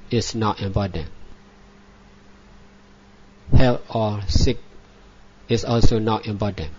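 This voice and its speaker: A man who is a native Burmese speaker gives guided meditation as a Buddhist teacher, in measured, repetitive short phrases with frequent pauses. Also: on the low side, darkish tone, a little hiss or hum. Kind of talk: guided meditation, spoken dhamma instruction. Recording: dull lo-fi recording.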